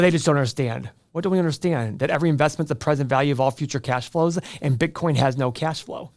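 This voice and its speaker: A man's voice: on the high side, a little mellow, no echo, clean studio sound. An American man hosting an investing channel, giving opinionated finance commentary in an emphatic, argumentative way straight to the viewer.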